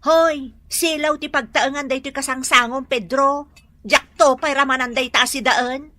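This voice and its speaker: A woman's voice: sits high, steady tone, unhurried, pushed and bright, no room sound. A woman with an Ilocano accent playing a bossy, thrifty, scolding wife in a comedy radio drama, speaking emphatically. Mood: indignant.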